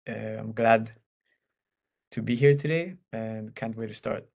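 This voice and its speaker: Gravelly low-voiced young man. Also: a little slow, a little mellow. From Greece. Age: young adult. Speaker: law student debater. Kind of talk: short self-introduction.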